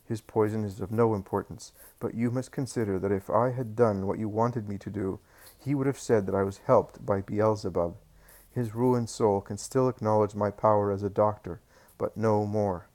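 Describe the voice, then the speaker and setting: A man voicing a calm, gentle male teacher in a scripture story. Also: on the low side, close to an everyday voice.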